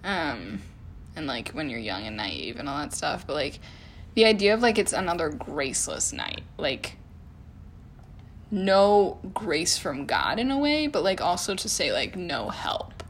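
A woman co-hosting a casual chat, reflecting out loud and filling pauses with "like" and "um.". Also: low voice, big pitch swings, a little hiss or hum. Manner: reflective, heavy use of "like" and "um". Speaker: podcast co-host.

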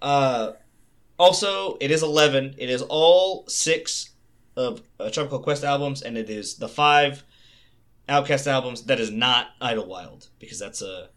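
A man's voice: mid-range voice, unhurried, a bit bright. A man co-hosting a casual music podcast, talking loosely and with enthusiasm. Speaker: podcast co-host.

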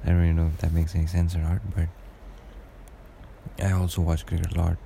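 A young man with a deep voice, rambling through a casual solo podcast monologue with lots of hesitations. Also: completely flat delivery, quick pace, vocal fry, dark tone, slight background noise. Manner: rambling and hesitant, many fillers and restarts. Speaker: podcast host.

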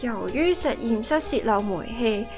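A woman with a Hong Kong accent playing an excitable, easily scared little sister in a comedic radio drama, with dramatic, exclamatory delivery. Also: on the high side, a little slow, over music. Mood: mock-solemn storytelling.

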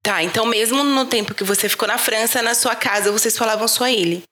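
Attentive woman host with a higher voice. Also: quick pace, sharp tone. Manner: attentive, short acknowledgements and guiding questions. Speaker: podcast host. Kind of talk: interviewing.

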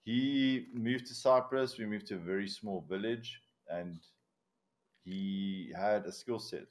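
A man's voice: on the low side, slow. A man with a South African accent, first language Afrikaans, telling stories in a casual, laughing, swearing podcast chat. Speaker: podcast guest, commercial diver.

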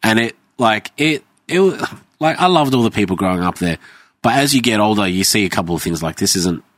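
A man's voice: on the low side, expressive, brisk, a bit bright, no room sound. A man, telling rambling, swearing anecdotes in casual chat and acting out what people said.

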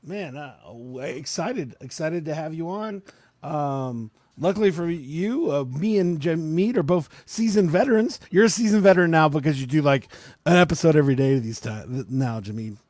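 Guy w a scratchy voice hosting a live stream, kinda high, dry close sound. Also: expressive, normal speed, a little mellow, no room sound. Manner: chatty, laughs often, many fillers. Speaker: podcast host. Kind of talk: casual live-stream chat.

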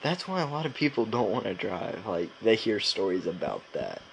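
A man's voice: lowish voice, wildly swooping pitch, normal speed, vocal fry, background noise. A young man co-hosting a casual podcast, rambling along in informal slang with lots of filler words. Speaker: podcast co-host.

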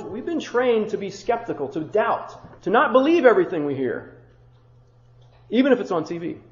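High-voiced pastor preaching in a roomy hall. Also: male voice. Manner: rhythmic rhetorical lists, conversational asides. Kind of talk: sermon. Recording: muffled roomy church recording.

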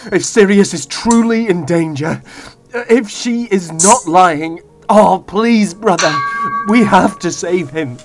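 A man's voice: sits high, slow, few pauses, over music. An actor playing a noble young king in a fantasy audio drama, speaking in formal, archaic, dramatic phrases. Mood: anguished, pleading. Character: noble young male fantasy king, devoted, desperate lover.

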